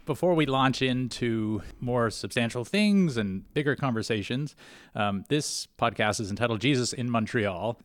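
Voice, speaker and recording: A man hosting a podcast interview in a college classroom, speaking in a friendly, halting way with many pauses. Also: mid-range voice, lively intonation, no echo, studio quality. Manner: warm, hesitant, many "uh"s. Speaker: podcast host. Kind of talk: conversational interview.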